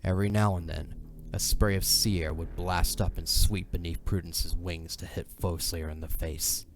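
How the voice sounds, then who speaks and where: A man's voice: deep voice, little pitch movement, moderate pace. A man narrating a scripted fantasy story in a dramatic audio drama style.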